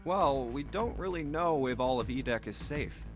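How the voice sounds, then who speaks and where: A man's voice: medium pitch, lively intonation, normal speed, over music, natural voice. An actor playing an earnest, concerned young man who leads his companions in a dramatic visual novel game. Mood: thoughtful.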